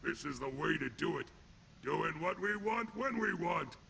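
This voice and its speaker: An actor playing a crude, sneering alien thug and ex-convict enforcer in a video game, taunting in blunt street-gangster slang with a rough voice. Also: male voice, fairly high pitch, lively intonation, measured pace, background noise, full character voice. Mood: smug, gruff. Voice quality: gravelly, rough. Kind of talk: video game character acting.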